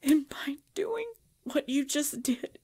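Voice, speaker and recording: A woman playing a proud, pregnant vampire leader in pain, speaking formally and dramatically in an ASMR roleplay with breathy drops toward a whisper. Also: high-pitched, animated, dark tone. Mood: pleading, weary. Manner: slow, formal, dramatic, often dropping to whispers.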